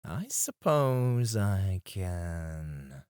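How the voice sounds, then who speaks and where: A man acting a flirtatious, self-assured male stripper in an ASMR roleplay, suave and teasing, with breathy asides, close on the microphone. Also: sits low, big pitch swings, extremely slow, darkish tone, no room sound, heavily acted. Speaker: ASMR voice actor.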